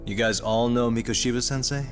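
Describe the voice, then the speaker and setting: A man's voice: medium pitch, steady tone, fairly quick, soft background music, lightly acted. A man playing a composed adult detective in a video game dub, dry, unruffled and wryly skeptical. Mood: stern. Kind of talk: video game cutscene English dub acting.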